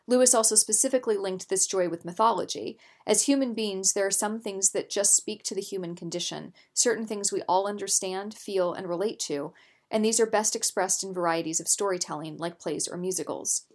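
A woman narrating scripted nonfiction as an audiobook reader, in a measured, articulate way. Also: mid-range voice, a little mellow.

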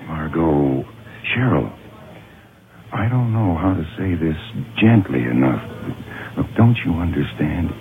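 An actor playing an earnest, protective police officer in a radio horror drama, halting and insistent, pleading gravely. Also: male voice, extremely low pitch, big pitch swings, heavily acted. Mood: pleading, warning. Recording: lo-fi old radio broadcast with noise.

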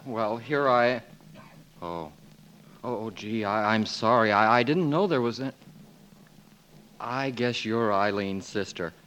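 An actor playing a bashful, earnest drugstore soda clerk in 1940s radio comedy style, stammering and flustered. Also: male voice, fairly low pitch, slow pace, long pauses, fairly bright tone. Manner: stammering, flustered, gee-whiz politeness. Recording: noisy vintage 1940s radio recording.

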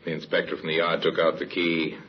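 A man acting as the solemn narrator of an old radio crime drama, grave and measured in his storytelling. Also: extremely low pitch, flat delivery. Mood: grave, suspenseful. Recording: muffled old radio recording with hiss.

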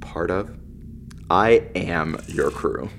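A man's voice: low-pitched, drawn-out words, fairly bright tone, slight background noise, heavily acted. A man playing a wry, loyal runaway nobleman turned spaceship crewman, talking casually and teasingly in a science fiction audio drama. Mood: wry, confessional.

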